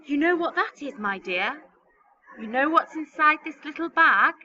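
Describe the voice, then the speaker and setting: A woman voicing a small, mysterious old man who speaks slowly and creakily, coaxing and whispering. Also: high-pitched, animated, pushed and bright, a little hiss or hum, voice pushed up, exaggerated voice. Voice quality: slow and creaky. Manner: whispering, wheedling. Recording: dull, distant mic.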